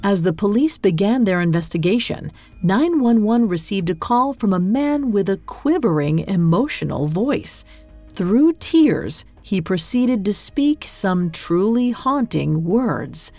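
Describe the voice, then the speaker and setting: A woman hosting a podcast, reading scripted true-crime narration in a measured, dramatic storytelling manner. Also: mid-range voice, big pitch swings, darkish tone, no echo. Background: music.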